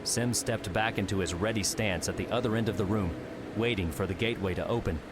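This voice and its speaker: A man's voice: on the low side, fairly quick, noisy background. A man narrating an audiobook, reading a scripted adventure story in a dramatic storytelling style.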